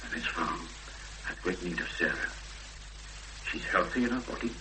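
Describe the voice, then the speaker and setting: An actor playing a grave, persuasive Welsh chapel minister with solemn, measured speech in a 1970s radio drama. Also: male voice, low voice, lively intonation. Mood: grave persuasion. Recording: noisy old radio broadcast recording with hum.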